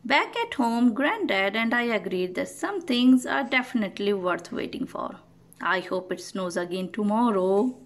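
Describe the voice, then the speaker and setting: Expressive, slow-reading woman storyteller. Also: medium pitch. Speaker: children's picture book read-aloud host. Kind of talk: storybook read-aloud.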